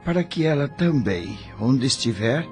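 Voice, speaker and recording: A man's voice: mid-range voice, lively intonation, unhurried, faint music behind, natural voice. An actor with a Brazilian Portuguese accent playing a kindly, pious father who counsels in a paternal way in an audio drama. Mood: reverent.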